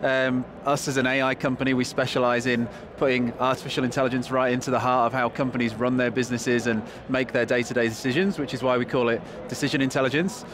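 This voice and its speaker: An energetic man with a Northern English accent talks through his answers fast, with ums and restarts. His voice sits in an average range. He was recorded on a lapel mic with clear trade show noise behind him. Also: medium pitch. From England. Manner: quick, enthusiastic, with fillers. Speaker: guest, company chief executive. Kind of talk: conversational interview answers. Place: convention hall floor.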